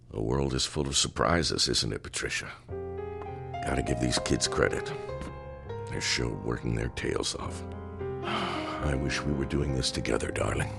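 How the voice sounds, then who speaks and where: An actor playing a gentle, courteous older widowed doctor in an audio drama, speaking softly and with some hesitation. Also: male voice, rumbling low, a little slow, gravelly, long pauses, heavily acted. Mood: wistful, tender. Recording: prominent music behind the voice.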